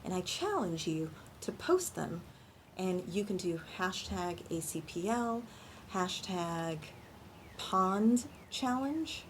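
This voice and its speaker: A woman reading a picture book aloud to young children in an animated storytime style, pausing to ask questions and explain things simply. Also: mid-range voice, background noise. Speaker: children's librarian and storytime reader.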